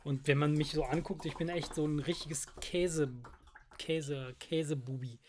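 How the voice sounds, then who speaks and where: A man's voice: on the high side, fairly even intonation, a little slow, slight background noise. A man in his fifties chatting casually as a podcast co-host, with a jokey, crude sense of humour. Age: 50s. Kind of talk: casual chat.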